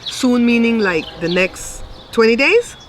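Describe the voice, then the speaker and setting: A woman's voice: on the high side, sing-song, unhurried, a crowd in the background. A middle-aged woman reading tarot on camera in a casual, colloquial, teasing way. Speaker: tarot reader and video host. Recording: noisy outdoor recording with animal sounds.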